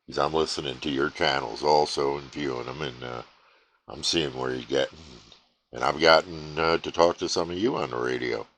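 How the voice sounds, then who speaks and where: A man casually chatting about his radio hobby at his home station, relaxed and rambling with many fillers. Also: a bass voice, normal speed, slight background noise. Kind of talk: casual chat to camera.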